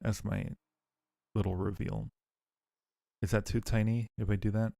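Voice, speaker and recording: A man chatting casually with viewers as a livestream host, sometimes halting as he explains things. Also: lowish voice, flat delivery, creaky, mellow, no room sound, studio quality. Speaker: streamer and audio roleplay creator. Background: faint music.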